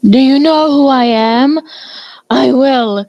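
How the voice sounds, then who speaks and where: A woman's voice: high voice, slow pace, no room sound, lo-fi sound. A woman voicing a haughty, wrathful pony princess of the night in a fan fiction reading. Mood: outraged.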